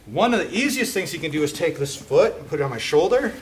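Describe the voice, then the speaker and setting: Chatty middle-aged jiu-jitsu instructor. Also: male voice, on the high side, big pitch swings, quick pace, a little hiss or hum. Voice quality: chatty, self-deprecating, frequent fillers like "okay?" and "right?". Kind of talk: casual storytelling and technique instruction. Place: indoor martial arts gym with mats.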